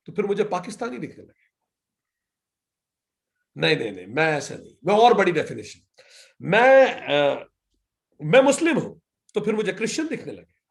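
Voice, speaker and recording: A man's voice: a little high, gravelly. A man with an Indian accent teaching scripture, building points through repetition and asking and answering his own questions. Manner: emphatic, repetitive rhetorical build-ups. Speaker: spiritual teacher. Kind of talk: scripture lecture.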